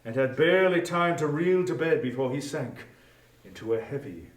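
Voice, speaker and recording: A man delivers measured, formal storybook narration. His voice sits slightly high, and it sounds a little distant from the microphone with an echoing room around it. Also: lively intonation. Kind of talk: scripted dramatic storybook narration. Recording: roomy echo, distant microphone.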